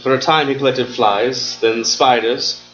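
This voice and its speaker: An actor playing a calm asylum doctor in a live radio play, controlled and explanatory. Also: male voice, mid-range voice, a little slow, dull audio, soft background music, lightly acted. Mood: explaining. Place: stage before a live audience.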